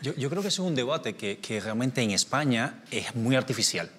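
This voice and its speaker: A man's voice: medium pitch, animated, moderate pace, fairly bright tone, slightly rough. A middle-aged man from Cuba argues his point on a television panel, hands moving as he talks. He often stops and restarts mid-phrase, his voice caught on a lapel mic in a studio with a long echo tail. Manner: gestures a lot, many restarts and fillers. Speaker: scientist and science communicator. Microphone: small lapel mic.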